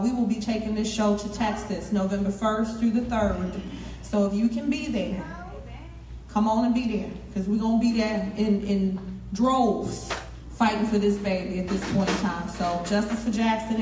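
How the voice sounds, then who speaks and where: A woman's voice: mid-range voice, recorded from a distance. Woman organizer pumping up a meeting, echoey room, faint hiss in the back. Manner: energetic, repetitive call to action. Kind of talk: rallying announcement to a meeting.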